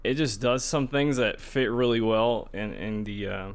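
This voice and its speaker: A man's voice: medium pitch, a little slow. A man co-hosting a podcast, chatting casually in a hesitant, loosely rambling way. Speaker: podcast co-host. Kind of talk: casual chat about video games.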